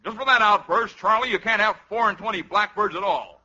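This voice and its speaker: An actor playing a blustery, pompous ice cream sales boss in a 1950s radio comedy, with a falsely hearty pep-talk delivery. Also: male voice, sits high, fairly quick, pushed and bright, full character voice. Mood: stern. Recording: noisy, dull 1950s radio recording.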